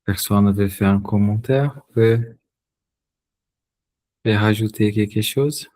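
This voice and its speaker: A man's voice: lowish voice, a little mellow. A Brazilian man whose first language is Portuguese, hosting an online spiritist study session and often restarting his words. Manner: slow, halting, repeats words as he searches for them. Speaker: host of a spiritist study group.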